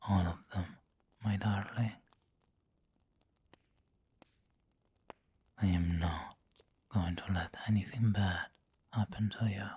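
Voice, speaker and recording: Man playing a breathy, low-voiced doting vampire lord. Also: no echo, exaggerated voice. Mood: soothing, protective. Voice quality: breathy, whispery. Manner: slow, gentle, intimate coaxing. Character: refined, doting male vampire lord, seductive and protective. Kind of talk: ASMR roleplay.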